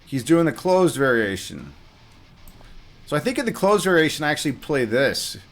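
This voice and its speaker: A man's voice: fairly high pitch, very animated, normal speed. A man giving casual live commentary on his own game as a streamer, muttering half-finished thoughts to himself as he thinks aloud.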